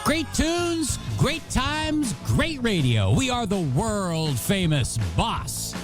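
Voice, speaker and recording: An American man working as a radio DJ, with a high voice that swings widely in pitch. He delivers upbeat oldies patter with a joking, self-mocking showman's flair. Music plays clearly behind him. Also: big pitch swings, slow. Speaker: radio DJ and host. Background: pop and rock music.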